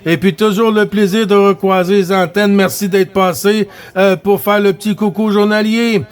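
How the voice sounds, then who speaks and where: A man's voice: high-pitched, little pitch movement, normal speed, clear, resonant tone. A man with a Quebec French accent chatting casually as he runs a CB radio round, repeating himself as he relays. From Quebec, Canada. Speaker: CB radio operator running the round.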